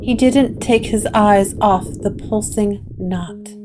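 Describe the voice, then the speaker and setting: Woman telling a spooky story real slow, guitar music playing under her. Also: medium pitch. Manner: measured, atmospheric storytelling. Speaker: narrator. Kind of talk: scripted gothic horror narration.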